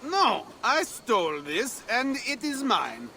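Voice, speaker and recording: Snooty french frank, high voice, swoops around, very theatrical, game cutscene. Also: male voice, wildly swooping pitch, takes it slowly, pushed and bright, a little hiss or hum, exaggerated voice. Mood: defensive. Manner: theatrical, haughty. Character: fussy, theatrical Frankish man, snobbish wine lover. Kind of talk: dramatic video game acting.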